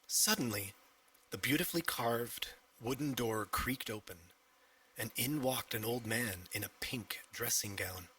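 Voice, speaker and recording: A man's voice: medium pitch, a little slow, bright, forceful tone, slightly rough, far from the mic, poor audio. A man narrating a scripted children's story as an audiobook reader.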